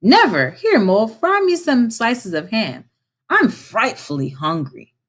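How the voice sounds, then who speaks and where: Woman as bold male otter, storybook, hearty, breezy, exclamatory, animated intonation. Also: fairly high pitch, measured pace, pitched above the performer's own voice.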